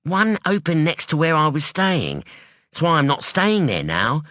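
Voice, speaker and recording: A man voicing a sharp, defiant young woman who talks bluntly and insistently, in an audiobook. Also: a little high, huge pitch swings, measured pace, no room sound, studio quality, voice pushed up, exaggerated voice. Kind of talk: audiobook dialogue.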